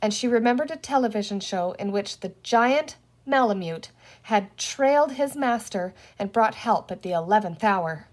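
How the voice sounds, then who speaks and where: A woman's voice: mid-range voice, expressive, normal speed, a little mellow. A woman reading a children's storybook aloud as narrator. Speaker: reader, teacher reading aloud.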